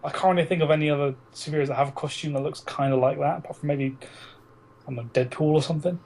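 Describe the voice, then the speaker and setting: A man's voice: on the high side, fairly even intonation, very fast, gravelly, a little mellow. A British man co-hosting a podcast in casual, teasing, sweary banter with a friend. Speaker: podcast co-host.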